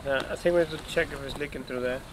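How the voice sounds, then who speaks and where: A man's voice: medium pitch, very fast, background noise. A man in his thirties chatting casually on a sailboat deck, offhand about gear falling apart. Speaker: sailing vlogger. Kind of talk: casual chat. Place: outdoors on a sailboat at sea.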